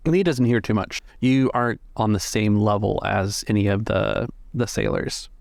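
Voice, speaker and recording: Low-voiced male game master. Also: fairly even intonation, moderate pace, vocal fry, darkish tone, no room sound, studio quality. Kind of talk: conversational tabletop game narration.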